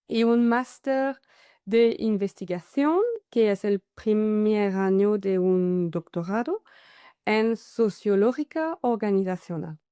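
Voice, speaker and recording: A woman with a French accent gives a masterclass in Spanish over a video call, which is not her first language. Her delivery is slow and halting, often filled with "so" and "am". Her voice is mellow and the sound is dry and close. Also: mid-range voice, smooth, no room sound. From Paris, France. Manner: hesitant, with many "am" and "so" fillers. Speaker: guest speaker, consultant. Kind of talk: online masterclass presentation.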